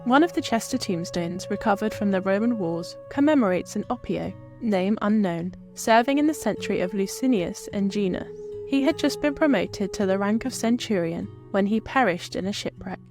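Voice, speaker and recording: A woman's voice: mid-range voice, lively intonation, normal speed, over music. A woman telling history from a script as a tour presenter.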